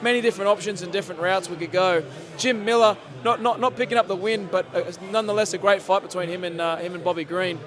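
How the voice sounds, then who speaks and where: Hoarse high-voiced host. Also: male voice, fast talker, hardly any pauses. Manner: excited, rambling, many fillers. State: losing his voice from yelling. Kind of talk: post-fight reaction interview. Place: sports bar at a casino.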